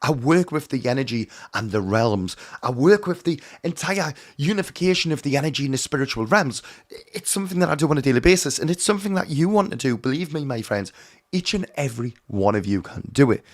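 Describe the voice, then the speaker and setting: A hoarse man giving an earnest, emphatic teaching talk on mediumship, often calling his viewers his friends. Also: medium pitch, expressive, a little fast. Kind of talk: direct-to-camera teaching talk.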